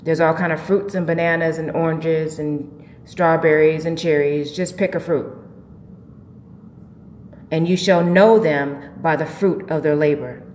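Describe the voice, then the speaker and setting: Low-voiced woman preaching in an echoey space. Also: steady tone, measured pace, a little mellow. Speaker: host of a spiritual talk show. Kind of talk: informal spiritual monologue. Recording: echoey, reverberant room with faint noise.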